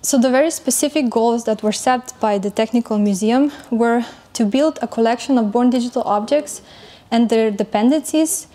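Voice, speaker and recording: A woman giving an academic conference presentation in English, speaking carefully with frequent pauses and fillers. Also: fairly high pitch, dark tone. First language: not German.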